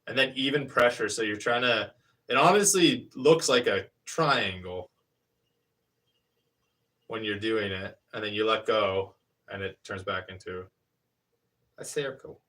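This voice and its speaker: A fairly low-pitched man in his thirties talks loosely through what his hands are doing at a pottery wheel, trailing off and restarting as he goes. He sits back from the microphone, so his voice comes across slightly distant. The tone is easygoing live-stream chatter. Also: a little slow, pauses often, bright, forceful tone. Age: 30s. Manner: rambling, hesitant, explains hands-on steps while working. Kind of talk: casual live-stream chat while demonstrating. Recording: voice picked up from a distance.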